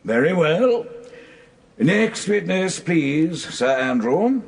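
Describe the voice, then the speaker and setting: An actor playing a dry, faintly sceptical British judge in a radio drama, formal and drily reproving. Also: male voice, fairly high pitch, very animated, takes it slowly, vocal fry, heavily acted.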